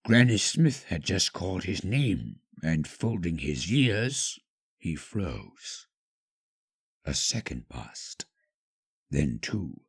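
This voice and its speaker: A man narrating a scripted story dramatically, with measured, hushed phrasing and pauses. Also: sits low, big pitch swings, creaky, breathy edge.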